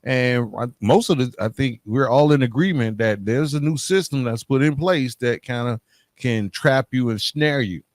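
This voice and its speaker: A man's voice: medium pitch, normal speed. Male podcast host, dry close sound. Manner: echoes and restates the guest's points. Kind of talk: conversational interviewing.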